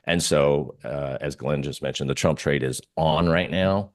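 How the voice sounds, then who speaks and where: A man speaking casually and haltingly as an interview guest, talking about trading in an easygoing way. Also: rumbling low, dry recording, studio quality. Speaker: interview guest, systematic trader and newsletter writer. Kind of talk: casual interview talk on markets.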